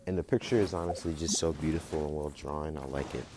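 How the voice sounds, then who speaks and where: A man's voice: extremely low pitch, fairly even intonation, fast talker, background noise. A man giving a casual, chatty game walkthrough, talking informally to his viewers. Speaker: game guide video creator. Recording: music behind the voice. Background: game music and dialogue.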